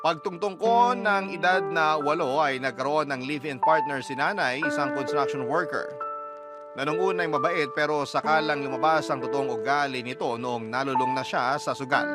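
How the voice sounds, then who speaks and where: Loud music runs behind a man narrating a story in radio style. His voice is slightly high as he tells it in the first person. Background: prominent music.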